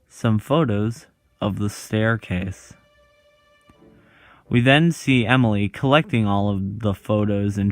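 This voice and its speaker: A man narrating a scripted video essay as its host, pausing dramatically between short phrases. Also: fairly low pitch, no echo.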